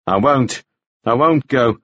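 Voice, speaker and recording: A man voicing a suspicious, exasperated man who questions forcefully and scornfully in an audiobook. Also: mid-range voice, slow pace, no echo, highs cut off. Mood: scornful, insistent. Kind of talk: audiobook character acting.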